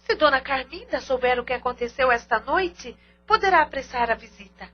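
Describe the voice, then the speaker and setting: An actress playing a sensible, loyal aunt who speaks earnestly in an audio drama. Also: female voice, high-pitched, expressive, a little fast. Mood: earnest, reasoning. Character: sensible, loyal aunt reasoning over a mystery. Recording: dull lo-fi audio drama recording.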